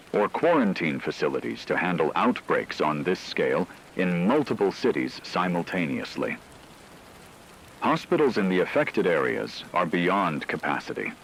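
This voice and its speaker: A man playing a grave radio news anchor, delivering a formal, measured, analytical scripted report on an apocalypse. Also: low voice, a bit bright, slight background noise, low-quality recording.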